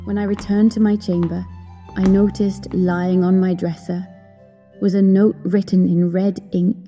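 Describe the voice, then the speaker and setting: A woman playing a wistful young opera singer who recounts her story in an audio drama, in measured, earnest, literary phrasing. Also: fairly low pitch, little pitch movement, mellow, lightly acted. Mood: subdued unease. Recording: long hall reverb, music behind.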